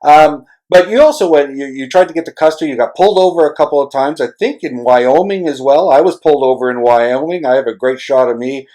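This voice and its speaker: A man's voice: medium pitch, brisk, hardly any pauses, dark tone, poor audio. Rambling man hosting a casual interview. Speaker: podcast host interviewing a travel writer.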